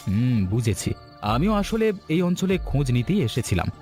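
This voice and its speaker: A man voicing an upright, firm but grateful government project director in a cartoon story, speaking in a formal, measured way. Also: mid-range voice, sing-song, darkish tone, soft background music. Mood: firm, official. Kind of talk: Bengali cartoon story voice acting.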